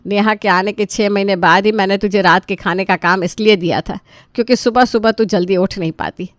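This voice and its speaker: A woman's voice: mid-range voice, fairly even intonation, a little fast, no echo, clean studio sound, natural voice. A woman voicing an exasperated, put-upon middle-aged mother-in-law in a story, complaining dramatically.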